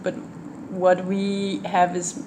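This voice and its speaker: A Danish woman in her twenties with a Danish accent, a student answering interview questions in English in a thoughtful way, pausing often with ums and restarts. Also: on the low side, monotone, noisy background. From Denmark. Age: 20s. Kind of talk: casual interview conversation.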